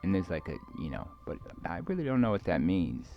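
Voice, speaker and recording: A man giving a rambling, casual monologue to viewers, halting and repeating his words as he trails off. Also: sits low, big pitch swings, muffled recording. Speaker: video creator speaking to viewers.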